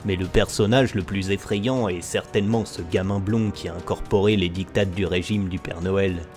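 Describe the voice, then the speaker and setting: Low-voiced man narrating a mock investigation. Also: steady tone, normal speed, a little mellow. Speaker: narrator of a satirical investigation video. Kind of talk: mock-documentary scripted narration. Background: background music under the voice.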